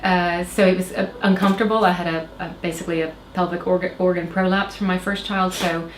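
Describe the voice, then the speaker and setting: A woman from Oklahoma in her thirties talks as an interview guest, her American speech carrying a slight Irish lilt. Her voice sits a little low, and she hesitates, backtracks and fills pauses often. The room sounds echoey, with a faint hiss underneath. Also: level tone. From Oklahoma, USA. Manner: hesitant, many fillers and restarts. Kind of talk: casual personal storytelling. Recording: roomy echo, faint background noise.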